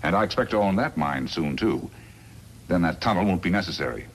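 A man's voice: low voice, animated, brisk. An actor playing a scheming, smug crooked lawyer in a 1950s radio western, calculating and emphatic in his delivery. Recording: noisy vintage 1950s radio recording.

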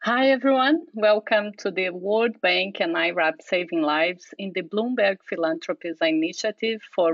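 A woman's voice: medium pitch, dry recording. A woman moderating a webinar, announcing in a friendly, straightforward way over a remote call microphone. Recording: dull, dry call audio.

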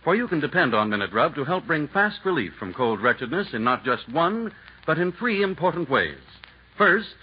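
A male announcer on an early 1940s radio broadcast, reading ads and bantering in a hearty, jokey manner. His pitch swings widely as he talks. The sound is dull and lo-fi, with faint hiss behind him. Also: sing-song, normal speed.

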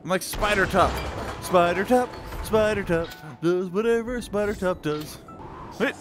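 A man giving excited let's-play commentary in a playful, exclamatory way, breaking into goofy sound effects and sing-song. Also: high-pitched, a little slow. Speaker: gameplay video host. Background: video game music.

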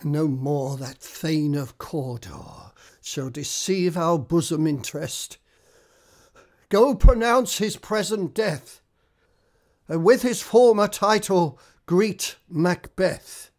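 A man's voice: fairly high pitch, slow. A man playing a stately, gracious king in a classical radio drama. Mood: decisive.

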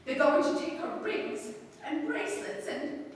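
A woman's voice: high voice, animated, measured pace. A woman playing an anxious, demanding bedridden wife in an old-time radio drama, stammering, breathless and insistent. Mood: distressed, faltering. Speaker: stage actress in a live radio play. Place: small stage hall with seated audience, distant camera. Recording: echoey hall from far off, faint noise.

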